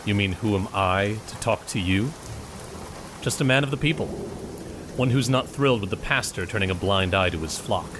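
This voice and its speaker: A man's voice: fairly low pitch, moderate pace, background noise, natural voice. A man playing a sardonic street agitator. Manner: mocking, sardonic and confrontational. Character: defiant male crowd leader, a sardonic man of the people. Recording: rain ambience behind the voice.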